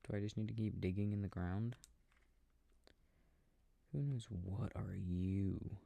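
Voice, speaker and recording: A man speaking softly and breathily, often near a whisper, quietly musing to himself in an ASMR sleep-aid style while playing a video game. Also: on the low side, fairly even intonation, measured pace, gravelly, no echo. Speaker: audio roleplay performer. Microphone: close microphone. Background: game controller clicking.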